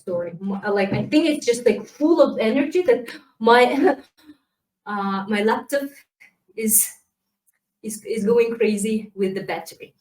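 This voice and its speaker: A woman's voice: on the high side, unhurried, long pauses. Chatty woman, roomy far-off sound. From Kazakhstan. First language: Kazakh. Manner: lively, chatty, many fillers and restarts. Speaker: community manager giving a talk. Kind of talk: casual conference presentation. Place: presenting remotely from a laptop. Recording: roomy and distant from the mic.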